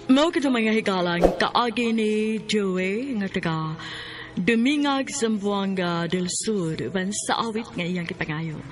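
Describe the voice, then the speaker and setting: A woman's voice: mid-range voice, lively intonation, measured pace. Woman radio announcer over prominent music. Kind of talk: radio song dedication announcement. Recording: music playing under the voice.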